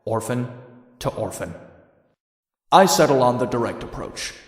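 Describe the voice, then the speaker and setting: A man's voice: mid-range voice, expressive, a little slow, close to an everyday voice. Man as young comic-book antihero, mid-range voice, cocky, wry, noir narrator, long reverb. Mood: brooding, wry. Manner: wry, wisecracking. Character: cocky, sardonic young male vigilante antihero. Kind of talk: noir first-person narration and dramatic dialogue. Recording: echoey, long reverberant tail.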